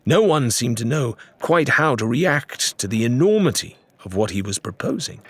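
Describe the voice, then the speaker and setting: A man's voice: medium pitch, very animated, moderate pace, fairly bright tone, dry recording. A man reading an audiobook as narrator, in dramatic scripted storytelling.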